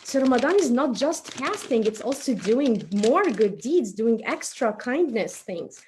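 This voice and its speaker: Animated woman presenting crafts for children. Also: fairly high pitch, a little slow, a little hiss or hum. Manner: playful, encouraging, talks to children as "we kids".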